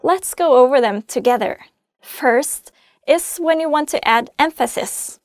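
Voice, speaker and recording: Slow, high-voiced woman teaching patiently. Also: animated, no echo, clean studio sound. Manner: slow, clear and patient, spelling out word forms. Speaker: language teacher. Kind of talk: scripted lesson presentation.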